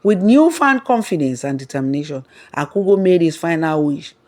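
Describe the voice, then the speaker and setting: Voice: medium pitch, lively intonation, normal speed, a little mellow. A storyteller reading a scripted folktale narration.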